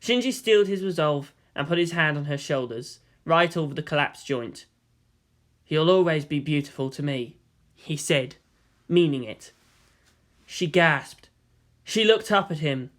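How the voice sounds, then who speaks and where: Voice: mid-range voice, lively intonation, a little slow, a bit bright. A narrator giving a scripted, dramatic reading of anime fan fiction. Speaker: audiobook narrator.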